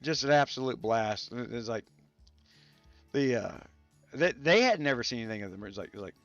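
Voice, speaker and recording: A man with a gravelly, irritated voice, hosting a rambling casual chat about a strategy game and stopping now and then to cough. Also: mid-range voice, animated, moderate pace, fairly bright tone, dry recording, muffled recording, faint music behind. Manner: rambling, many restarts and fillers. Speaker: gaming channel host. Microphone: studio mics set up to spare his voice.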